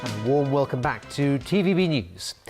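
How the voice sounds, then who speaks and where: A man's voice: mid-range voice, lively intonation, moderate pace, over music. Male news anchor with mid-range voice. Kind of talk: scripted news presenting.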